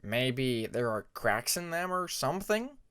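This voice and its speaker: A man's voice: medium pitch, sing-song, a little slow, a bit bright, clear, resonant tone. A man voicing a skeptical young male dragon, a loyal friend who talks in a blunt, grumbling way. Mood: doubtful.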